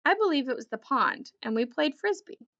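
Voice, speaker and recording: A woman's voice: sits high, lively intonation, normal speed, pushed and bright, highs cut off, voice pushed up, exaggerated voice. A woman reading a children's storybook, voicing an irritated, cynical female golden retriever in a scornful, huffy manner. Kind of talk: children's storybook read-aloud.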